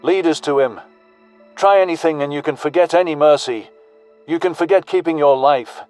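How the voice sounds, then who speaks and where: Man voicing a blunt, confident human soldier, higher-pitched. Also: normal speed, a little mellow, clean tone, soft background music, close to an everyday voice. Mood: menacing. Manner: blunt military slang. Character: gruff, confident human soldier, commanding but loyal. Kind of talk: audio story character dialogue.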